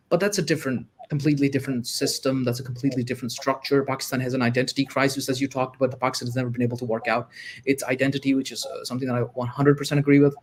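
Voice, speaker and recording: A man joining a livestream as a guest, arguing his points quickly and emphatically in casual discussion. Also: mid-range voice.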